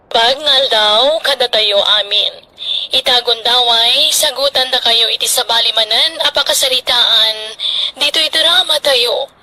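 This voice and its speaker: Radio drama announcer: Ilocano woman, very unhurried dramatic delivery, bright tone. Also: fairly high pitch, poor audio.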